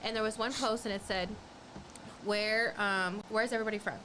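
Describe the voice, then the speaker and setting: A woman's voice: medium pitch, moderate pace, poor audio. A woman, a podcast co-host telling a story in casual chat and acting out the quotes. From Texas. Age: 20s. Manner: animated storyteller, acts out quotes. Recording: background noise.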